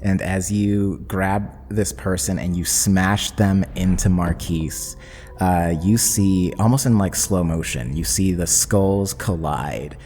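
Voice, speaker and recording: A man with a very deep voice runs a fantasy tabletop game. He narrates slowly and dramatically in short phrases, with long reverb around his voice. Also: a little mellow. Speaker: game master. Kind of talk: narrating a tabletop actual play podcast. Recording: long reverb, clear background noise.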